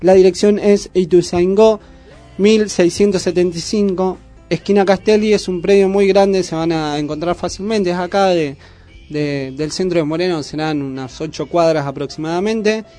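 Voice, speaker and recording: A man's voice: high voice, moderate pace, warm tone, faint music behind. A man with a Buenos Aires accent making casual announcements as co-host of a community radio show. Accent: Argentine, Buenos Aires.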